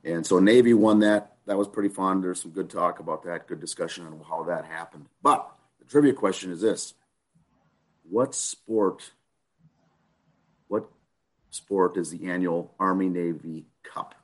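A man with a hoarse, raspy voice from a chest cold, co-hosting a sports podcast and posing a trivia question. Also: low-pitched, measured pace. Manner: easygoing, poses trivia questions. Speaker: podcast co-host. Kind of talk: casual podcast banter.

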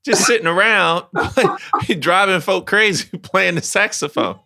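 A man's voice: high-pitched, unhurried, gravelly, sharp tone. A man hosting a podcast, asking questions in a playful, joking, slangy way. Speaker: podcast host. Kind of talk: casual interview chat.

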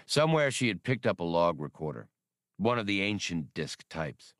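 A man voicing a portly, seasoned adventurer in an audiobook, steady and gruffly matter-of-fact. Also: deep voice, normal speed, no echo, clean studio sound. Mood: grim recounting.

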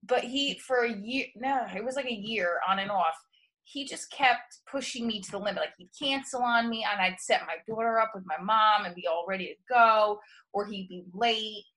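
A woman's voice: mid-range voice, normal speed, sharp tone, low-quality recording. A woman hosting a casual chat show, talking frankly and rambling with many restarts. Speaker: host and comedian.

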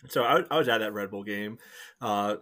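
A man's voice: lowish voice, normal speed, bright, forceful tone. A man on a podcast speaking hesitantly, filling his talk with "you know" and "uh". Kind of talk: casual podcast chat.

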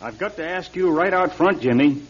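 An actor playing a concerned, persistent law partner in a classic radio drama, asking direct and probing questions. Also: male voice, on the high side, lively intonation, normal speed, lightly acted. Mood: concerned, pressing. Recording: dull vintage radio recording.

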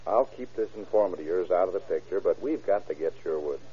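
An actor playing an insistent, dry police detective in an old radio crime drama. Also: male voice, deep voice, lively intonation, quick pace, mellow, a little hiss or hum. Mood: businesslike, wry. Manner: insistent, wry, businesslike. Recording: muffled lo-fi old radio recording.

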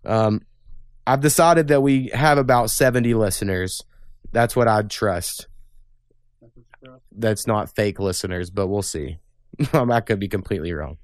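A man's voice: on the low side, unhurried, long pauses, dry recording. A young man hosting a casual podcast, talking bluntly and opinionatedly and swearing freely. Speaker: podcast host. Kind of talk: casual political chat.

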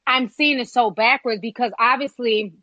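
An American woman hosting a casual chat, talking in an animated, rhetorical way with lots of "you know" and "like". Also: fairly high pitch, moderate pace, no room sound, dull audio. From United States.

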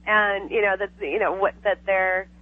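A woman's voice: mid-range voice, fairly even intonation, moderate pace. Chatty woman over a tinny phone line. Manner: chatty, laughs often, lots of fillers. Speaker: psychic guest. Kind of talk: casual radio interview. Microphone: telephone.

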